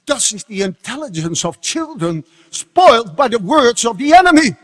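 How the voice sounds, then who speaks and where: Man acting as domineering demon king, theatrical, commanding, high voice, wildly varied pitch, long hall reverb. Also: big pitch swings, normal speed, full character voice. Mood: furious. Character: domineering demon king father, sweet turning to furious. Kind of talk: stage dramatic reading.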